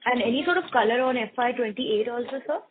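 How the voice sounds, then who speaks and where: A woman with an Indian accent and a husky voice asks questions as an analyst on an earnings call. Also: fairly high pitch, animated, quick pace, slight background noise. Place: conference call. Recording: tinny phone-like conference line.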